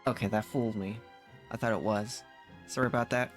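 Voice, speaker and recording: A man streaming a game, casually reading dialogue aloud in a halting way with repeated words. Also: fairly low pitch, fairly even intonation, soft background music. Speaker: streamer playing and reading along.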